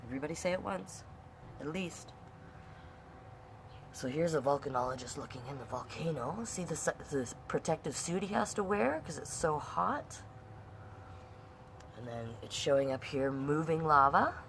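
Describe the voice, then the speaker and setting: A woman's voice: low-pitched, expressive, normal speed, long pauses, fairly bright tone. A middle-aged woman hosting a children's storytime, reading aloud and chatting through the pictures for young viewers. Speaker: online storytime host and reader. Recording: clear background noise.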